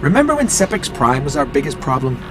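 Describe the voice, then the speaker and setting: A man's voice: mid-range voice, big pitch swings, fast, over music, close to an everyday voice. An actor voicing a small, alert robot companion in a video game, earnest and inquisitive as he explains things. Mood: curious, dry.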